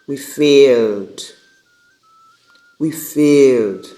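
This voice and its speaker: Storybook mother male narrator acting her: regretful, grieving, drawn-out emphatic lament. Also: medium pitch, mellow, echoey room, soft background music. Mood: anguished regret.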